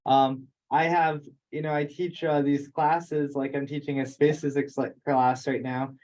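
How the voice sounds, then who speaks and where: A man's voice: on the high side, flat delivery, a little slow, a little mellow. A man who teaches, chatting casually in a discussion on a teleconference. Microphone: remote teleconference call. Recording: dull conference-call audio.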